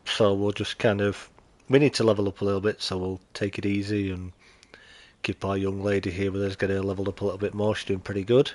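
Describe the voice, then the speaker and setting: A man's voice: on the low side, level tone, fast talker, a little mellow, no room sound. A British man streaming a game live, chatty and greeting his viewers, sounding nasal and chesty from allergies. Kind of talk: live stream gameplay commentary.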